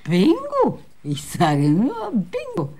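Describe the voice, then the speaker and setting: A woman's voice: on the high side, wildly swooping pitch, slow pace, dark tone, exaggerated voice. An actress playing a bitter, drunken woman who curses scornfully in a radio drama. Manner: cursing, scornful, breaks into tears. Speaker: voice actor.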